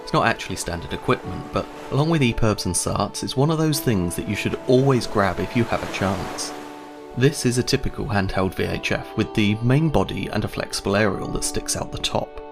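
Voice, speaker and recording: A man's voice: fairly low pitch, animated, a little fast, creaky, over music. A man giving a scripted instructional narration, explaining step by step in a conversational way.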